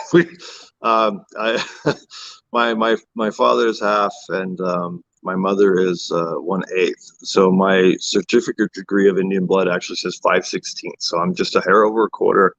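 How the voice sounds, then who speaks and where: Low-voiced man on a dull video call. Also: little pitch movement, creaky, a bit bright, no room sound. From Cherokee Nation of Oklahoma. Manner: halting, joking, laughs often, many fillers. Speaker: guest. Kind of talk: casual conversation.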